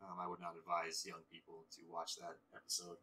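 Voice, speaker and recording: A man with a lowish voice that is strikingly bright and forceful, chatting casually while he plays a game. He talks slowly and haltingly, restarting his sentences as he goes. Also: completely flat delivery, poor audio. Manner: drawn-out, halting delivery with many restarts. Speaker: co-host of a gaming channel. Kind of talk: casual chat while gaming.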